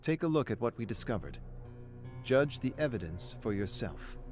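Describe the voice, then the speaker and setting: A man's voice: medium pitch, very animated, normal speed, a little mellow, natural voice. Male narrator as peppy young woman, spooky legend presenter, theatrical forced energy, music underneath. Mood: peppy, dramatic.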